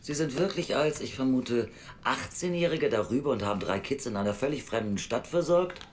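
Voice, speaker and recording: An actor playing a stern, moralising adoption official who questions formally and reproachfully in an audio drama. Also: male voice, medium pitch, lively intonation, fairly quick, a little mellow, slight background noise, lightly acted. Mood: sceptical. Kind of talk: naturalistic German audio drama acting.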